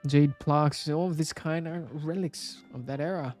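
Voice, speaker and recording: A man reading a story aloud as scripted narration, with a measured, dramatic storybook delivery. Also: on the high side, steady tone, a little mellow, soft background music. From Indonesia.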